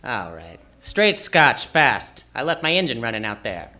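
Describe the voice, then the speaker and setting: An actor playing a slick, sarcastic, curt customer in a 1940s radio drama. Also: male voice, medium pitch, very animated, unhurried. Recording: live theater stage, loud music underneath.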